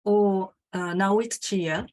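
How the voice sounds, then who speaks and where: Woman learner trying out phrases, slow and careful, mid-pitched. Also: darkish tone. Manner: hesitant, practicing new phrases. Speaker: language student.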